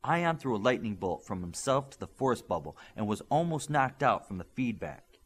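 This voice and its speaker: Man, audiobook reader, expressive, lively pitch, steady delivery. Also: moderate pace, slightly rough. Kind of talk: audiobook narration.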